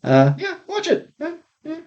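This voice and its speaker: A man co-hosting a podcast in casual conversation, recorded on a rough, faulty microphone. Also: extremely high pitch, huge pitch swings, moderate pace, darkish tone. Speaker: podcast co-host discussing films. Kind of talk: casual chat. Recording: dull, rough malfunctioning podcast mic.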